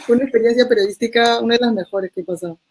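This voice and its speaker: Woman, journalist guest, lively storyteller, higher-pitched voice. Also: very fast, slightly rough. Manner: animated, laughing as she tells the story. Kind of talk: conversational storytelling.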